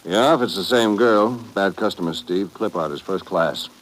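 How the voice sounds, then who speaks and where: A low voice on a noisy old radio recording, a man acting a brisk army major. His delivery is terse, knowing and businesslike, in period radio drama style. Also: natural voice.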